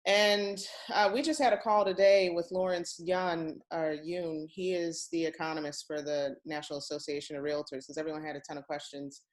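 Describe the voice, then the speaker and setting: Casual woman host, slightly low voice. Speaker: host of a real estate question-and-answer session. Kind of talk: casual, chatty webinar hosting.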